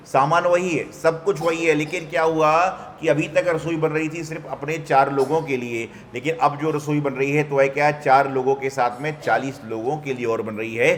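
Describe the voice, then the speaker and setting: Man preaching a sermon in a reverberant hall. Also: medium pitch. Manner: storytelling with rhetorical questions and mock quotes. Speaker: Jain monk preacher. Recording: hall reverb, faint background noise.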